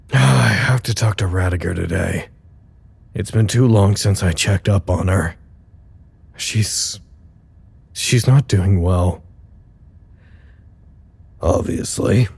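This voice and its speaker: A troubled, weary man in an audio drama, with a fairly low voice. He thinks aloud in a measured, sceptical way, dry and brooding. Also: vocal fry, darkish tone, slightly rough, exaggerated voice. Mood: worried, heavy.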